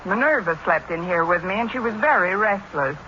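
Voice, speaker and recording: A wry middle-aged woman who teaches school, firing off dry wisecracks in a bright, forward voice. It is 1940s radio sitcom acting, on a dull old recording with faint noise. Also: medium pitch, animated, fairly quick. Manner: dry sarcastic delivery.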